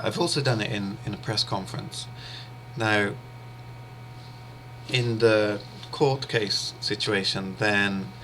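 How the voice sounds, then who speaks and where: A man, a native English speaker, teaches an online interpreting lesson in a measured, patient way. His voice sits low yet sounds bright and forward. He pauses often and fills gaps with small ums and uhs. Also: a little hiss or hum. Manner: measured, steady explaining with frequent pauses and fillers. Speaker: interpreting instructor. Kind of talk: explanatory online lecture.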